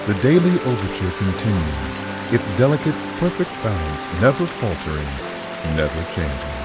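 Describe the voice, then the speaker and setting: An actor as a poetic storyteller, giving measured, solemn, literary narration for a video game. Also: male voice, low voice, wildly swooping pitch, low-quality recording. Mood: lyrical. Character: poetic male storyteller setting a pastoral scene. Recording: loud music, running water behind.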